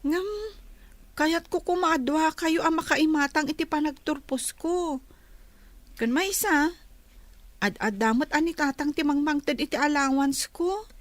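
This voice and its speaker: A dutiful teenage girl in a radio drama. The voice is extremely high and youthful, with a plaintive manner. The sound is dry. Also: a little slow, dry recording. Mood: downcast. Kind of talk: Filipino radio drama acting.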